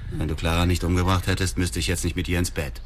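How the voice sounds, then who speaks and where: An actor playing a vengeful, coldly cruel blackmailer in a radio drama, sneering, domineering and coarse in manner. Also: male voice, deep voice, level tone, fast talker, slight background noise, natural voice. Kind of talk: naturalistic German radio drama acting.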